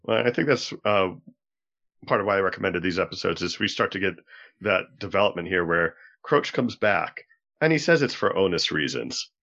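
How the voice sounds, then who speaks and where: A man's voice: deep voice, expressive, moderate pace, pushed and bright. A man on a podcast panel explaining ideas analytically, piecing them together as he goes, on slightly lo-fi audio.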